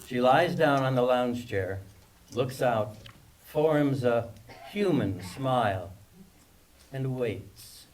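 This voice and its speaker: A man reads screenplay directions as the narrator of a table read. His pitch is average, and the delivery is scripted narration. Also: animated, slow, pauses often, a little mellow, rough recording.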